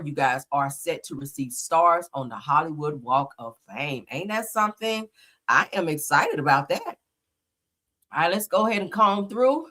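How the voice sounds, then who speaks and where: A woman's voice: lowish voice, a bit bright. Chatty woman host with a slight cold. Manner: chatty, greets viewers often, says y'all. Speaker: live stream host. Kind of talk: casual live stream commentary.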